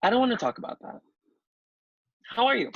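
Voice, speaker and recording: A man acting a guarded, gentle, philosophical young guy in a table read, on a dull home mic. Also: extremely high pitch, very animated, fairly quick, breathy edge, no room sound, full character voice. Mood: gently guarded.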